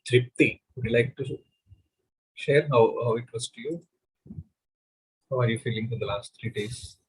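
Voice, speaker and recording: A man leading an online meditation group over a video call, calmly inviting others to share. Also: medium pitch, normal speed, breathy edge, poor audio. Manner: calm, plain, inviting people to share. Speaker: meditation session leader. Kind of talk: online group session hosting.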